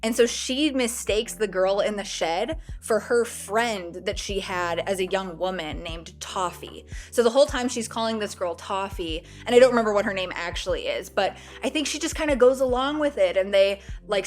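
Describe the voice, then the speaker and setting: A woman's voice: mid-range voice, moderate pace, few pauses, faint music behind. A woman with a slightly stuffy, cold-recovering voice, talking casually as a book review vlogger in a chatty, self-deprecating way.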